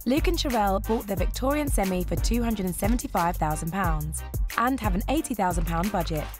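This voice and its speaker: A woman reads scripted narration for a renovation show, bright and explanatory, at a middle pitch. Clear music runs beneath her. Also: lively intonation, fairly quick. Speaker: co-presenter. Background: background music.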